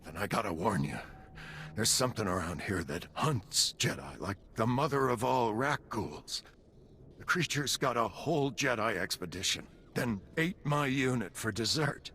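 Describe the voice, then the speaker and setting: A man's voice: mid-range voice, animated, moderate pace, pushed and bright. An actor playing a jaded, sardonic male soldier who talks in slang in a video game. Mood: wry, rattled. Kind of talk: video game cutscene acting. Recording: faint background ambience noise.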